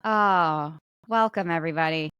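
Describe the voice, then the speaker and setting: A woman's voice: low-pitched, moderate pace, a bit bright, clean tone, no echo, muffled recording. A woman co-hosting a podcast in casual, conversational chat, with plenty of "like" and trailing asides. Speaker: podcast co-host; actor.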